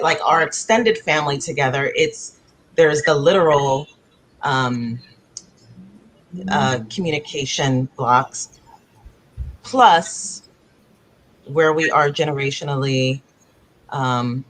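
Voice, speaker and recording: A woman's voice: sits low, fairly even intonation, slow pace, long pauses, rough recording. Thoughtful woman playwright on a video panel. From born in Africa, raised in the United States. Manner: thoughtful, searching, circles back to rephrase. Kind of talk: panel discussion over video call. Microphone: video call.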